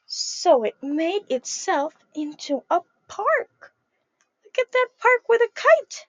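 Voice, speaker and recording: A young woman reading a picture book aloud to young children in a sing-song, animated way, calling them her friends. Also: very high-pitched, slow pace, dry recording, rough recording. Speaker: early childhood storyteller.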